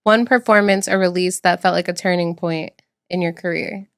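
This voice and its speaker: A woman's voice: fairly low pitch, steady tone, normal speed, no room sound. A woman co-hosting a podcast, asking interview questions with affirming interjections in a studio. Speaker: podcast co-host.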